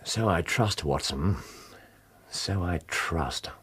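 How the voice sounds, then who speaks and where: An actor playing a brilliant, impatient Victorian detective in a radio drama, with crisp, clipped and commanding speech. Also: male voice, low voice, lively intonation, slow pace, fairly bright tone, no room sound, full character voice. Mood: brooding. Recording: radio drama with music underscore.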